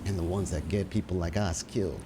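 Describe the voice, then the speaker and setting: A man's voice: deep voice, steady tone, fairly quick, voice pushed down, natural voice. Man playing a blunt, sardonic vampire, noisy audio. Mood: sardonic warning. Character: pragmatic, sardonic male vampire, wary of witnesses.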